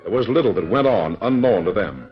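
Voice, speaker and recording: A man's voice: on the low side, animated, brisk, soft background music. A man narrating a radio drama in a dramatic, declamatory way. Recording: dull old radio recording.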